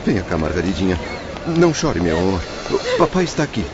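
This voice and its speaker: A man's voice: deep voice, sing-song, a little fast, over a noisy crowd. An actor playing the ghost of a loving, suffering father, emotional and imploring in an audio drama. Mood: tender coaxing. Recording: dull lo-fi audio, prominent music bed.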